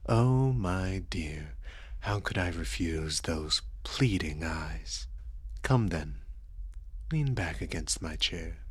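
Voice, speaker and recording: A man's voice: sits low, a bit bright, no room sound, full character voice. A man playing a seductive, dominant and sadistic vampire in an intimate ASMR audio roleplay, coaxing and condescending, often dropping into whispers. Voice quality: breathy, husky.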